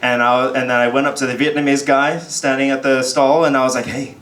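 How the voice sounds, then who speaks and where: Young male comic from Texas, casual self-mocking patter, mid-pitched voice, echoey pub stage. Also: flat delivery, a little fast, fairly bright tone. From United States (Texas). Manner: chatty, self-deprecating, often breaks into laughter. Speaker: stand-up comedian. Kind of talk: stand-up comedy set. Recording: roomy pub sound with faint crowd murmur.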